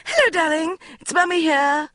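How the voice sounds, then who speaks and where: Woman reader doing a gushing, hysterical mum; high, swooping, very dry close read. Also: lively intonation, moderate pace, a bit bright, dry recording. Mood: wheedling. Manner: breathless gushing, wheedling. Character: middle-aged British mother, flighty, gushing.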